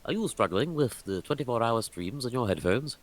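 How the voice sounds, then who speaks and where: A man's voice: mid-range voice, lively intonation, moderate pace, pitched above the performer's own voice, natural voice. A man putting on a theatrical advert announcer voice, hard-selling with a salesman's flourish over a voice call. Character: booming male advert announcer.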